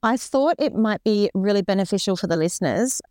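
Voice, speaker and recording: A woman hosting a podcast, gently guiding the conversation and encouraging her guest. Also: medium pitch, lively intonation, a little fast, dry recording, studio quality. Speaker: podcast host. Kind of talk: casual interview conversation.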